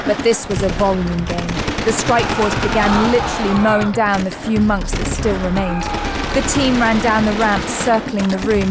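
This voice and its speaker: A woman narrating a sci-fi action audio drama in a scripted, dramatic storytelling style. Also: mid-range voice.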